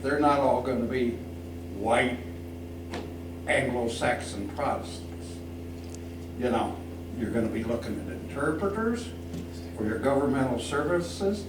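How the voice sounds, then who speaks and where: A man making blunt, unscripted public remarks as a resident, in halting short phrases. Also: medium pitch. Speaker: resident, former county supervisor, speaking at public comment. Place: public meeting room. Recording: distant mic, echoey noisy room.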